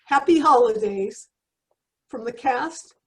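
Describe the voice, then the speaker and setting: A woman's voice: a little high, lively intonation, takes it slowly. A woman announcer reading scripted credits for a radio comedy.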